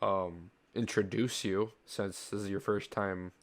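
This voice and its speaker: A man's voice: fairly low pitch, steady tone, a little slow. A man hosting a reaction stream in casual, hesitant, giggly chat. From Canada.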